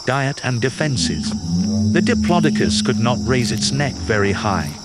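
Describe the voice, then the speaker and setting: A man reading a children's nonfiction picture book aloud in a clear, patient, teacherly way. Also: medium pitch, sing-song, unhurried. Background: background music.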